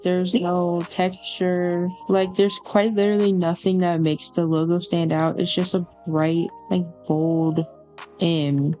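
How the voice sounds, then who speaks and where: A young woman as guest graphic designer, giving measured, hesitant opinions in a casual chat. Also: little pitch movement, mellow, soft background music. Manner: measured, hesitant, low-key.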